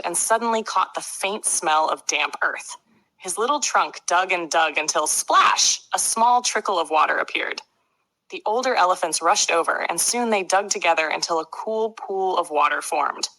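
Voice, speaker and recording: A woman narrating a scripted children's story with clear storybook phrasing. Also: fairly low pitch, expressive, normal speed, pushed and bright, poor audio. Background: faint music.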